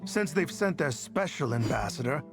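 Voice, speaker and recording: An actor playing a greedy, blustering desert clan chief in a video game, turning between gruff suspicion and effusive eagerness. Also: male voice, a little high, expressive, normal speed, music in the background. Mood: suspicious. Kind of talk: dramatic video game voice acting.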